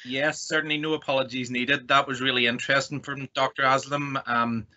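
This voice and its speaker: A man's voice: mid-range voice, flat delivery, fairly quick, sharp tone. A man with a Northern Irish accent giving a semi-formal online presentation as a company representative. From Northern Ireland. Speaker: technology company executive, guest presenter. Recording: dull lo-fi online call audio.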